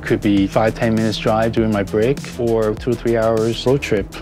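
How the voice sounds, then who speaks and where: A man's voice: lowish voice, level tone, normal speed. A middle-aged man from Hong Kong with a Hong Kong accent, telling his story reflectively as a car enthusiast in a documentary interview. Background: background music.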